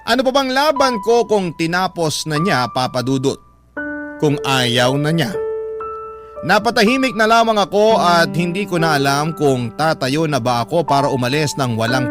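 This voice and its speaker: A male narrator voices a heartbroken woman's confession in a radio drama. His fairly high voice is sorrowful and emotive, telling the story in the first person. Loud music plays behind the words. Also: close to an everyday voice. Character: heartbroken woman letter writer confiding her lost love.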